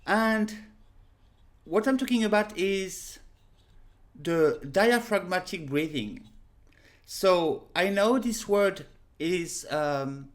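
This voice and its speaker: A woman in her thirties with a French accent, teaching a casual lesson to a webcam in a small room at home, unhurried and full of fillers. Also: on the low side. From France. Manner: unhurried, pauses with many fillers, direct. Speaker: harmonica teacher. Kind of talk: casual spoken lesson to camera.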